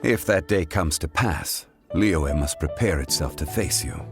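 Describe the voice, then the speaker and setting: An actor playing a calm, composed former god who speaks formally and with dignity, over game music. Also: male voice, a bass voice, unhurried, full character voice. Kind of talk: video game voice acting. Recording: game soundtrack music behind. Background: orchestral game music.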